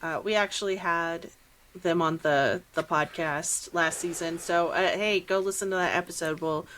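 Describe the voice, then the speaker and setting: A woman's voice: fairly low pitch, steady tone, normal speed, a bit bright, slight background noise, rough recording. A millennial woman hosting a podcast, chatting in an informal, encouraging way with her guest. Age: millennial, 30s or so. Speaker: podcast host. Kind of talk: casual podcast interview chat.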